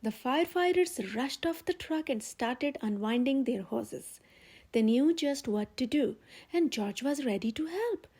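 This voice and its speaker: Woman, children's storyteller, high mellow voice, expressive read-aloud delivery, emphatic phrasing. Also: measured pace, breathy edge. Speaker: storyteller, channel host.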